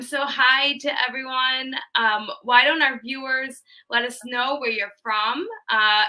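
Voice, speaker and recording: A woman hosts a live online event over a video call. Her voice is bright and a little high. She talks in an upbeat, chatty way, with plenty of ums. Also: rough recording. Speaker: social media manager hosting a livestream. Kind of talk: livestream hosting.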